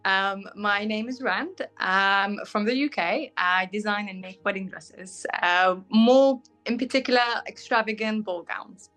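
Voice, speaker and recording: Young woman, British accent, Syrian-born, Arabic first language; frank, halting interview guest, faint music under. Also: mid-range voice, a bit bright, low-quality recording. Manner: frank, fills pauses with "um", searches for words. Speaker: guest, bridal fashion designer. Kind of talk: casual interview answers.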